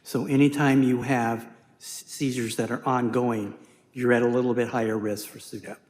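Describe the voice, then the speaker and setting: A man who is a physician on an expert panel, answering audience questions in a measured, explanatory way. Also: medium pitch, level tone, a little mellow. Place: conference hall. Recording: echoey hall reverb.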